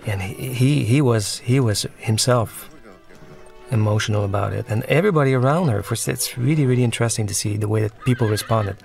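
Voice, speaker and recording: A man, the film's director, talking through a commentary track and often pausing and restarting as he searches for words. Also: mid-range voice, creaky, darkish tone, soft background music. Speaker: film director.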